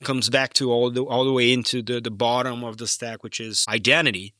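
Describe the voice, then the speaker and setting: A man hosts a podcast in a casual interview style. His voice is mid-pitched, bright and crisp-edged, recorded dry with no room sound. He tends to restate things and repeat words as he talks. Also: level tone, fairly quick, dry recording. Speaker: podcast host.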